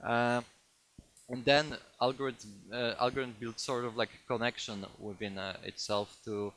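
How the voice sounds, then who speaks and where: A man with a Polish accent lectures on a technical subject to an audience in a room. His voice is bright and of middle pitch. He talks in halting bursts, full of fillers and restarted phrases, with a faint hiss behind him. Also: little pitch movement. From Poland. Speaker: conference speaker. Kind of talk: technical talk to a live audience.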